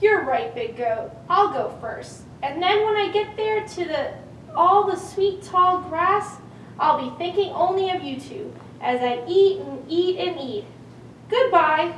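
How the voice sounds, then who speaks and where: Little puppet goat, extremely high voice, timid, sing-song, pleading, echoey room. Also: female voice, expressive, a little slow, fairly bright tone, exaggerated voice. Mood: eager. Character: small young billy goat, timid and pleading. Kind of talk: children's puppet show acting. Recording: echoey room, distant mic, faint noise.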